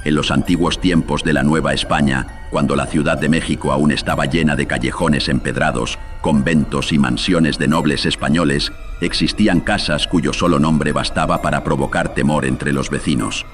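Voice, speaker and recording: A man's voice: steady tone, normal speed, soft background music. A man narrating scripted horror tales as host, solemn, ominous and theatrical, with a processed voice. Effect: deepening voice filter.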